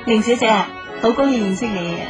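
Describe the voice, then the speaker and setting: A woman's voice: on the high side, brisk, loud music behind the voice, lightly acted. A woman playing a breezy, self-assured private secretary in a radio drama, with a Hong Kong Cantonese accent. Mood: sociable, playful.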